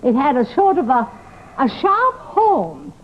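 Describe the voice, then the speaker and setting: An actress playing a nagging, excitable housekeeper in old-time radio drama, with a scolding, chattery manner. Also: female voice, high-pitched, measured pace. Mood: flustered. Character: nagging, excitable female housekeeper, outspoken and exasperated. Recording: muffled, noisy old radio recording.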